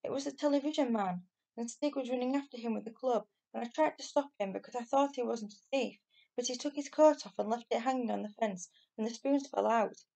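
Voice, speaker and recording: A woman voicing an excitable, earnest and insistent young boy in a children's storybook reading. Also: medium pitch, moderate pace, vocal fry. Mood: protesting.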